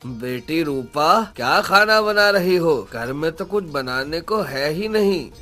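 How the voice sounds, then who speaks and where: A man's voice: a little high, animated, a little slow, bright, forceful tone, faint music behind. A man voicing a poor, loving woodcutter father in a cartoon moral story, sorrowful and self-blaming. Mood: tender, sorrowful. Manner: tender, sorrowful.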